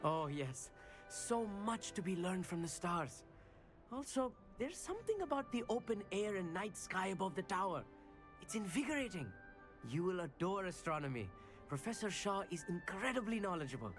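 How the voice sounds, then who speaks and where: A man's voice: high voice, very animated, moderate pace, soft background music. A man playing an eager, bookish teenage boy at a wizard school, earnest and polite in a game. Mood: eager, friendly. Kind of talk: scripted game dialogue.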